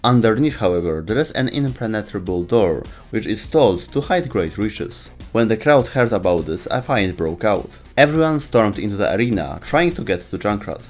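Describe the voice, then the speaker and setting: Low-voiced man narrating over music. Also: animated, moderate pace, few pauses. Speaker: host of a video game lore show. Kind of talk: scripted narration.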